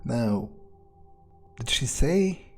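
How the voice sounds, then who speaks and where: A man's voice: mid-range voice, lively intonation, very slow, a little mellow, faint music behind. A man playing a detached, blunt vampire investigator, musing hesitantly in character during a video game playthrough. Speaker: game player narrating in character. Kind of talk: improvised in-character playthrough, thinking aloud.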